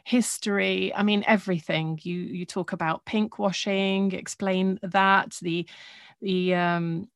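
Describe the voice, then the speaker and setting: A woman's voice: medium pitch, steady tone, slow, clean tone, dry recording, clean studio sound. A woman hosting a podcast interview, chiming in eagerly and praising her guest. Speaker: podcast host. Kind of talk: interview chat.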